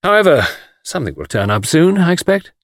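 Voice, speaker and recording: A man voicing a suave, easygoing gentleman adventurer with dry, urbane politeness in an audiobook story. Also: mid-range voice, very animated, normal speed, no room sound. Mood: wry, breezy.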